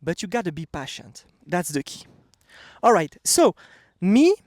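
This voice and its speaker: A man in his thirties with a French accent gives a casual motivational talk straight to camera. His voice sits high for a man and is recorded close and dry. He talks bluntly and emphatically. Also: very animated, unhurried, dry recording. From France. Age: 30s. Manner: blunt, emphatic, repeats "okay?". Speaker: vlogger. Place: outdoors.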